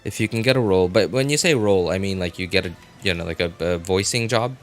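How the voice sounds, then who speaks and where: Low-voiced man rambling on a livestream. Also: quick pace, faint music behind. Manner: rambling, repeats phrases, thinking aloud. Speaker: game livestreamer. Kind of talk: casual livestream chat.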